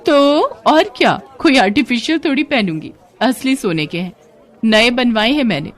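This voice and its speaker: A woman's voice: a little high, expressive, normal speed, a faint crowd, pitched above the performer's own voice, close to an everyday voice. A woman voicing a cunning, boastful young daughter-in-law who snaps back defensively in a cartoon story.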